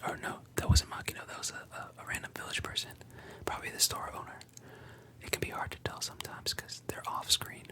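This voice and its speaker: A storyteller whispering a retelling of a cartoon episode, with chatty asides. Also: a little fast.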